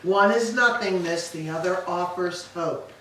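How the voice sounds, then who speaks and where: A woman's voice: lowish voice, unhurried, a bit bright. A woman playing a grieving, stoic old queen and grandmother in a staged reading of Greek tragedy, with formal, lamenting delivery. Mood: weary, counselling. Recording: echoey hall, distant mic, faint noise.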